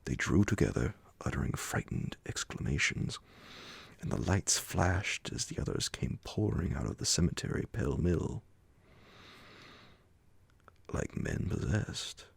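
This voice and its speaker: Slow, gravelly, very deep male horror narrator. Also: very animated, no echo. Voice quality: gravelly, breathy, often sinking toward a whisper. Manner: dramatic, drawn-out phrasing with many pauses. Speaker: narrator reading a classic horror story aloud. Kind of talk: slow ASMR bedtime audiobook narration.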